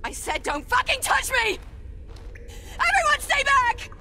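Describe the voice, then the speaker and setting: Panicked, high-pitched woman soldier. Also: very animated, sharp tone, a little hiss or hum, exaggerated voice. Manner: panicked, fragile, halting. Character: terrified, phobic female special forces soldier in breakdown. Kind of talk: dramatic video game acting.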